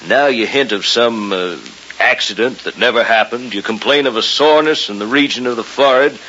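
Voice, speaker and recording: An actor playing a sceptical, paternal middle-aged family doctor, probing and authoritative, in old-time radio mystery style. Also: male voice, mid-range voice, brisk, pushed and bright, natural voice. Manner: probing, authoritative, clinical, emphatic. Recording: hissy, dull old radio recording.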